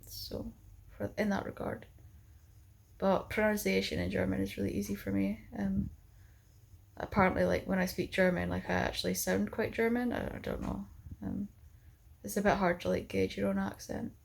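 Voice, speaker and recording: A woman's voice: medium pitch, flat delivery, moderate pace, creaky, slight background noise. A young woman vlogging in a casual chat to the camera, rambling and filling her talk with "like" and "um". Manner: rambling, full of "like" and "um".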